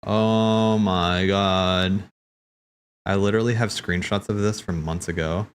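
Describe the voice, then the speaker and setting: A man hosting a livestream, commenting casually on game cutscenes in an excitable, rambling, slangy way. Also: low-pitched, takes it slowly, smooth. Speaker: livestream host. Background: game soundtrack music.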